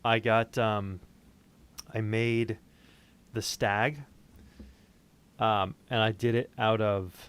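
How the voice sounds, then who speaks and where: A middle-aged man co-hosting a livestream in casual, halting, jokey chat, often interrupting himself. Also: on the low side, steady tone, fairly bright tone, smooth. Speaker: co-host of a livestream.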